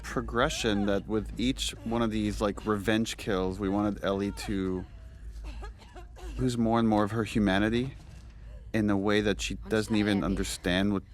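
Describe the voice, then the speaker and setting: A man's voice: lowish voice, level tone, moderate pace. A man giving unscripted behind-the-scenes commentary in a thoughtful, explanatory way, feeling out his phrasing. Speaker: game director giving commentary.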